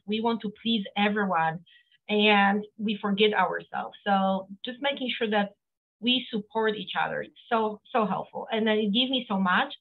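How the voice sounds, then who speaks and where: A middle-aged woman with a Polish accent, a guest talking earnestly over a video call with many fillers and restarts. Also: mid-range voice. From Poland. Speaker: guest, accountant. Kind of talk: casual interview talk. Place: home office, indoors. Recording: phone-like narrow sound.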